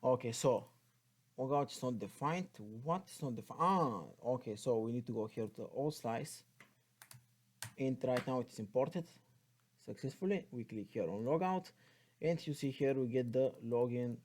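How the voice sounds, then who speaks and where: Mellow-voiced Bulgarian man teaching coding. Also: mid-range voice, expressive, normal speed. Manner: thinks aloud while typing, spelling out code. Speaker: programming tutorial instructor. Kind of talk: unscripted step-by-step coding walkthrough.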